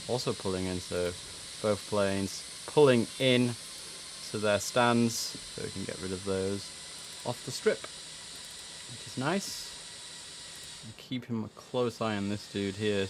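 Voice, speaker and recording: A man's voice: lowish voice, fairly even intonation, slow. A man livestreaming a game, mixing casual muttered chat with clipped air traffic control phraseology. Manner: casual, muttering to himself between clipped instructions. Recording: clear background noise under the voice.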